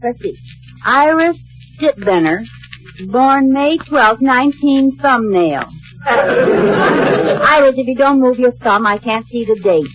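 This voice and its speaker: Casting: playful, scheming American wife, middle-aged, very animated high voice, wisecracking 1950s radio sitcom style. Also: female voice, takes it slowly, pauses often, dull audio, a crowd in the background. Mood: coaxing. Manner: theatrical, wisecracking. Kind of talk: 1950s radio comedy acting. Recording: vintage radio broadcast, studio audience behind.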